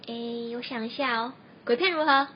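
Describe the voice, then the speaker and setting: High-pitched, easily spooked college girl played by a woman. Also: moderate pace, dull audio, a little hiss or hum, natural voice. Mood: playful. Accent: Taiwanese Mandarin. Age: young adult. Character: excitable, easily spooked female college dorm student. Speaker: student radio drama actor. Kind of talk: amateur campus radio comedy drama.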